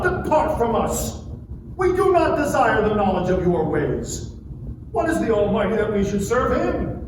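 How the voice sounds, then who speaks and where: A man in a dramatic scripture reading, playing a wronged sufferer who pleads and protests in an impassioned, declamatory way. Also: very high-pitched. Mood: indignant. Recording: very echoey, far-off mic, noisy live room.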